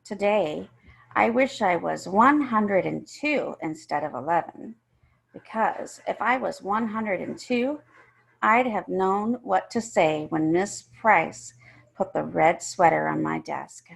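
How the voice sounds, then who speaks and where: Female storyteller, mid-pitched and gravelly, reading a children's first-person tale with expressive emphasis. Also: takes it slowly, rough recording. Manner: emphatic, acts out the characters.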